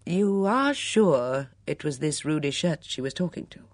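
A stern, cautious male police inspector, voiced by a woman reader, asking measured, probing questions. The voice is low and husky, recorded dry and close. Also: very animated, no room sound, full character voice.

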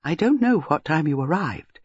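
A reader voicing a prim, shrewd, gentle elderly spinster with a measured, grave manner. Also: mid-range voice, big pitch swings, slightly rough, no echo, muffled recording. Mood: gentle, probing. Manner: measured, grave, quietly vexed.

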